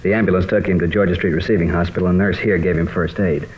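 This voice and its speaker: A man playing an earnest, troubled American high school teacher in a mid-century radio drama, explaining things hesitantly. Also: steady tone, fast talker, close to an everyday voice. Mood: recounting, shaken. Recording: dull, lightly noisy old radio recording.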